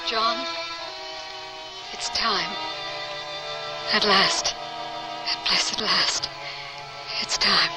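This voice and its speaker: An actress playing a devoted, serene woman scientist facing death with fervent conviction, in measured, earnest old-time radio drama style. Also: medium pitch, music in the background. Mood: tender, serene resolve. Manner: theatrical, earnest, measured delivery.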